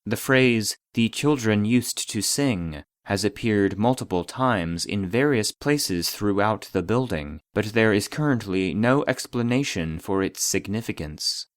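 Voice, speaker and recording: A man voicing an impersonal database, reading formal classified reports in a measured, clinical manner. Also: on the low side, expressive, no echo, clean studio sound. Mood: clinical, detached. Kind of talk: scripted narration of a formal report.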